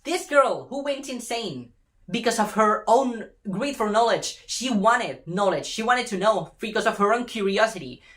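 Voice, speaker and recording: A woman's voice: medium pitch, lively intonation, normal speed, fairly bright tone. A woman delivering an impassioned opinion rant in an emphatic, exasperated way, repeating phrases for effect and swearing casually. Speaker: fan commentator.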